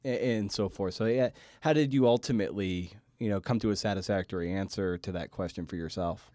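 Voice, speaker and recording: Low-voiced man, halting podcast interviewer. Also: mellow, dry recording, clean studio sound. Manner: halting, rambling questions with repeated words. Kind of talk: conversational literary podcast interview.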